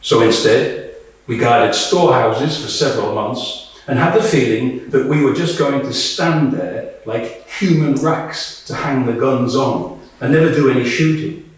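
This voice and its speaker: A man performs a weary, blunt woman soldier in a stage monologue. The delivery is unhurried, wry and conversational, with some swearing. It is heard from a distance in a very echoey room. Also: mid-range voice, close to an everyday voice. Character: Tonya, weary, blunt female Ukrainian soldier. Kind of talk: dramatic monologue read to a live audience.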